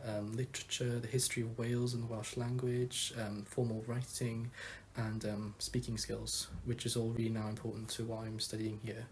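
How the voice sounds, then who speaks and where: Earnest young man, flat delivery. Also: medium pitch, a little hiss or hum. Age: young adult. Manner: earnest, hesitant with many ums. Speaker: university student. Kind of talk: student testimonial.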